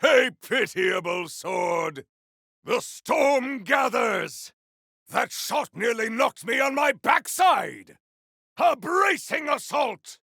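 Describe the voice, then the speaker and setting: An actor playing a bombastic, vengeful warrior hero in a video game, declaiming battle cries in a theatrical, archaic, grandiose way with a gravelly, raspy voice. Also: male voice, very high-pitched, expressive, takes it slowly, pushed and bright, dry recording, raised voice, full character voice. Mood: fierce, bombastic. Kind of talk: video game combat barks.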